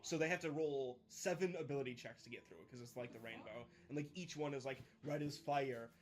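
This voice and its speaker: A man's voice: mid-range voice, a little fast. Brash, sweary man at a game table. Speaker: player in a tabletop role-playing game. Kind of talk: casual chat at a game table. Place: in-person game session indoors. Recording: background music under the voice. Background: background music.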